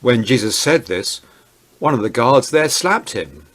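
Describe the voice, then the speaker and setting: A man serves as narrator for a dramatized scripture reading. His mid-pitched voice moves up and down in an animated way through the scripted narration. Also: measured pace, a little hiss or hum. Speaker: narrator, Bible reader.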